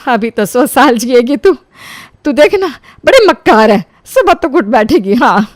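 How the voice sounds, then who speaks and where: A woman's voice: a little high, lively intonation, measured pace, no room sound, studio quality, pitched above the performer's own voice. A woman playing a caring older mother in a story, speaking in a familiar, emphatic and reassuring way. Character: caring older mother visiting her ailing friend.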